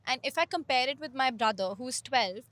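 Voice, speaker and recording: Young woman, high voice, bright tone, lively casual chat. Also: a little fast, no room sound. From India. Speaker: podcast guest.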